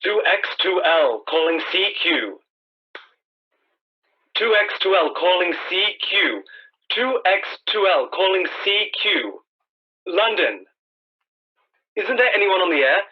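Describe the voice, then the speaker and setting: An actor playing a desperate, lone radio operator calling out repeatedly in a radio drama. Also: male voice, high voice, lively intonation, slow, long pauses, breathy edge, exaggerated voice. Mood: desperate, pleading. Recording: dull, lo-fi radio sound.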